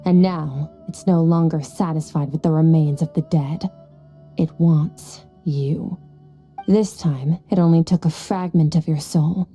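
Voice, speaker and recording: A woman's voice: low-pitched, steady tone, a little slow, mellow, faint music behind. A woman playing a cold, aloof and powerful young female warrior in a video game, curt, detached and condescending. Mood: grave, detached.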